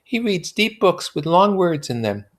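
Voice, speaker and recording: A man's voice: high-pitched, sing-song, measured pace, pitched above the performer's own voice. A man voicing a playful, plaintive young society wife from a novel, with exaggerated swooping delivery. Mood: teasing, conspiratorial.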